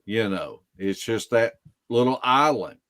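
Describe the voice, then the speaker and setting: A man telling stories casually as a podcast guest, in a folksy, unhurried way that circles back on itself. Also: fairly low pitch, expressive, fairly bright tone. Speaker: podcast guest, field investigator. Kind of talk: casual storytelling.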